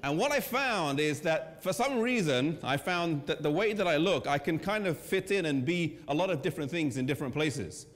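A man in his thirties with an English accent, preaching in a conversational way with pauses and fillers. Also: on the high side, expressive. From England. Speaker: pastor. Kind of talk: sermon presentation. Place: church hall with a seated audience. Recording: long church hall reverb.

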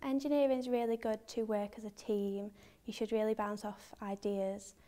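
A woman's voice: medium pitch, little pitch movement, normal speed, dark tone. A young woman with a northern English accent, an engineering student talking casually in an interview. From Wakefield, England. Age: young adult.

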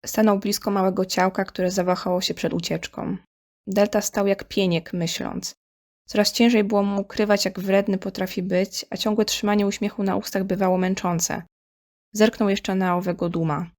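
A woman's voice: medium pitch, level tone, moderate pace, darkish tone. A woman reading scripted story narration in Polish as the narrator of an audio drama.

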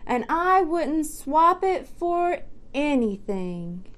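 Woman as a friendly, high-voiced storybook hen. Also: very animated, drawn-out words, heavily acted. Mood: admiring. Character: friendly, shrewd female chicken.

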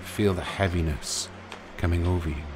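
A man narrating a guided sleep meditation in a soothing, gentle, unhurried way, leaving pauses between short phrases. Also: sits low, fairly even intonation, heavy background noise. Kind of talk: guided sleep meditation, hypnotic relaxation countdown. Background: music.

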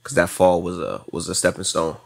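A man's voice: low-pitched, animated, a little fast, creaky. A man in his late twenties with an American accent, chatting casually as an interview guest, repeating himself for emphasis. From South Florida, United States. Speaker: podcast guest, track athlete.

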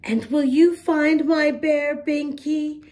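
A woman's voice: high-pitched, fairly even intonation, slow, dark tone. A woman voicing an independent little girl from a children's storybook, speaking in simple childlike phrases. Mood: pleading. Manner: simple childlike declarations and pleas. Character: very big little girl, independent, needing comfort at bedtime.

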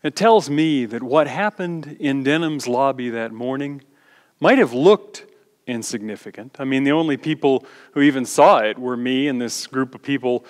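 A middle-aged male pastor giving a measured, reflective sermon in a church sanctuary, his phrases often trailing off softly. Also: medium pitch. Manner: measured, reflective preaching with soft trailing endings. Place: large church sanctuary, standing before the altar. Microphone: far camera. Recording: long church reverb.

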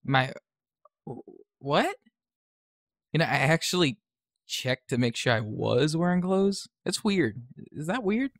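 A young man playing a sullen, sarcastic, troubled high school boy in an audio drama, hesitant and defensive. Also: expressive, slow, pauses often, fairly bright tone, no echo, clean studio sound, exaggerated voice. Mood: confused.

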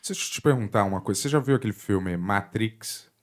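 A man's voice: on the low side, fast. A man in his thirties with a Carioca accent, hosting a casual podcast chat in a studio, talking loosely with lots of fillers and trailing questions. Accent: Carioca Brazilian Portuguese. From born in Portugal, raised in Rio de Janeiro. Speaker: podcast host. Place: studio with curtains, indoors. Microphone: boom mic with headphones.

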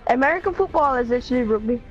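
A young person arguing back at a friend while playing a video game, with quick retorts. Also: female voice, a little high, expressive, highs cut off, soft background music. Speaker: gamer. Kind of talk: casual banter while gaming.

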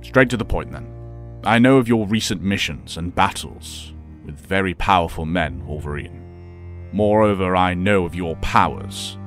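An actor playing a calm, authoritative professor and mentor in an audio drama, speaking in a measured, formal way. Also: male voice, deep voice, soft background music. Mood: measured, persuasive.

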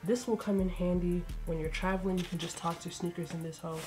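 A woman's voice: on the low side, steady tone, quick pace, a little mellow, soft background music. A young woman talking casually through a sneaker unboxing, a bit congested, in a slangy, chatty way. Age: young adult. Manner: laid-back, chatty, slangy. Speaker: sneaker vlogger. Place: bedroom with shoe shelves, indoors.

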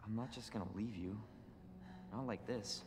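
A man's voice: lowish voice, normal speed, lightly acted. A young man acting an earnest, protective rookie policeman in a video game, understated and determined. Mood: protective, insistent. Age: young adult. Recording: noisy game ambience behind voice.

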